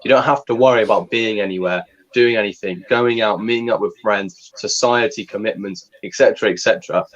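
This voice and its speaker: Young man, low voice, dull lo-fi sound. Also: fairly quick, hardly any pauses, fairly bright tone. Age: young adult. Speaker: co-host. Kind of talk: casual livestream chat.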